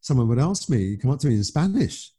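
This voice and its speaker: A chatty man with a dark, mellow tone and a slightly husky grain. He talks loosely and jokes at his own expense, tripping over restarts as he goes. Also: mid-range voice, sing-song, brisk. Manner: chatty, self-mocking, stammering restarts. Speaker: comedian and actor, host. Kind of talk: casual interview chat.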